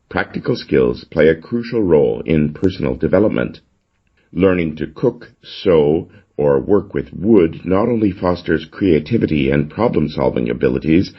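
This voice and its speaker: A man's voice: low voice, muffled recording. A man reading a scripted model essay aloud in the role of a reader for English exam practice.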